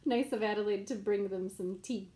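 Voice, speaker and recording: A woman's voice: medium pitch, moderate pace, warm tone, rough recording. A woman reading a rhyming children's picture book aloud in a playful, sing-song storytelling manner.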